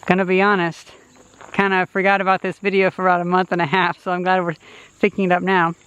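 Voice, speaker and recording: A man's voice: high voice, completely flat delivery, fast talker, a bit bright, lo-fi sound. A man giving casual hobby vlog narration in a tentative, self-deprecating way outdoors. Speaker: vlogger. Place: outdoors in rural countryside. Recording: noisy outdoor field recording.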